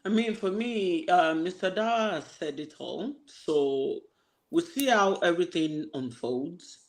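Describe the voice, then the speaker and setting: A man on an online panel, speaking in a relaxed, agreeable and measured way. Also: a little high. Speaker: panel guest. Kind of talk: casual panel chat.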